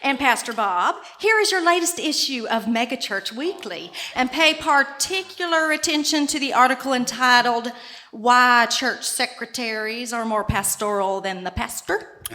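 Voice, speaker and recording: A woman acting as a brisk moderator in a live radio comedy sketch. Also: on the high side, pushed and bright. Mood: exasperated, presiding.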